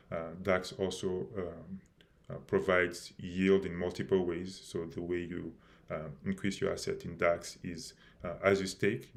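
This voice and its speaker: A man hosting a live stream about his project, speaking haltingly with many fillers and restarts, and losing his voice a little. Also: sits low, gravelly. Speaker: crypto project host.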